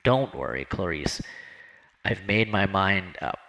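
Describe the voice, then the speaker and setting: Old man, devoted and insecure, gravelly low earnest delivery, man reading. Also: expressive, slow, a bit bright, exaggerated voice. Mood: pleading. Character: old man Norman, anxious, devoted, self-doubting.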